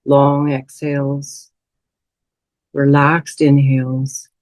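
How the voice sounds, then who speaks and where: A woman leading a guided meditation as a teacher, speaking in a soothing, unhurried way with long pauses. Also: rumbling low, completely flat delivery, darkish tone, no room sound.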